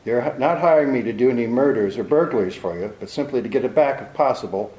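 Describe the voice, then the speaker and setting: A man's voice: mid-range voice, fast, darkish tone, reverberant, close to an everyday voice, low-quality recording. A man playing a tough, wry private detective in a radio play, speaking in a dry, sardonic, curt way. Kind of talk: amateur 1940s-style radio drama acting.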